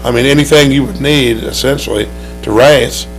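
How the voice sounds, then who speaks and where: Hoarse man promoting his race track. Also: medium pitch, normal speed, soft background music, low-quality recording. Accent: Oklahoman. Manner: upbeat promotional, rambling with many restarts. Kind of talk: TV studio interview. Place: TV studio.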